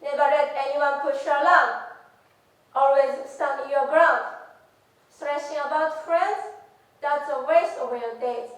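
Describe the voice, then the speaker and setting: A young woman whose first language is Japanese, quoting her tough-minded immigrant mother's forceful commands in an English speech. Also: sits high, steady tone, takes it slowly, far from the mic. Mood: stern. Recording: echoey hall, voice from afar.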